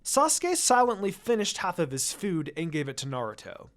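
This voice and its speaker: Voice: medium pitch, very animated, moderate pace. A narrator reading a fan fiction story aloud from a script, dialogue included.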